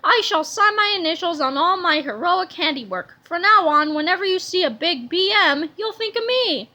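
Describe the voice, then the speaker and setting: A bratty little boy villain who proclaims his greatness in slow, pompous tones, as a woman puts him on. The voice is very high and bright, swelling with self-importance. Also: animated, exaggerated voice.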